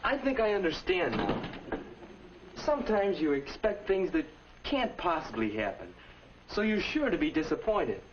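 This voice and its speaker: A muffled old film soundtrack with clear noise carries an anxious high school boy. He is polite and earnest, with very animated pitch, in the manner of 1950s classroom film acting. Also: moderate pace. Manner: earnest, deferential.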